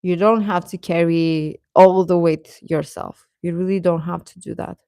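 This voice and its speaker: A woman's voice: on the low side, fairly even intonation, moderate pace, vocal fry, mellow, no echo, studio quality. A woman hosting a livestream, chatting casually and advising viewers in a conversational way, often hesitating and correcting herself. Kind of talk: casual chat, giving advice to viewers.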